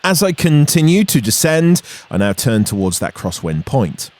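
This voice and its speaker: A man's voice: mid-range voice, very animated, normal speed, a little mellow. A man narrating an explanatory vlog as its host, in a voice-over style. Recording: music under the voice. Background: background music.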